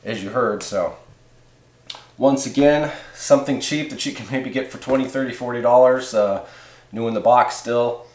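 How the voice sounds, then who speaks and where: A man's voice: mid-range voice, normal speed, darkish tone. A man giving a casual, rambling hands-on product review in a laid-back, folksy way, like a hobbyist. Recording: echoey room, noticeable background hiss.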